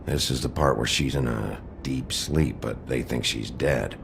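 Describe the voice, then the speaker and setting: An actor playing a gruff, brooding male detective in a noir video game, with a gravelly voice and a terse, deadpan, authoritative delivery. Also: very deep voice, a little slow, background noise, heavily acted. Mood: pensive. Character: gruff, brooding wolf detective in human form.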